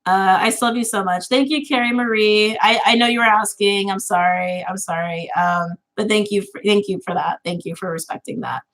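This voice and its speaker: A twenty-something woman hosting a casual livestream, talking loosely with her viewers. She rambles through "um" and "like", laughs easily, and her pitch sits in a middle range. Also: moderate pace, few pauses, a bit bright. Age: 20s. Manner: chatty, laughs easily, lots of fillers. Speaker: tarot channel host.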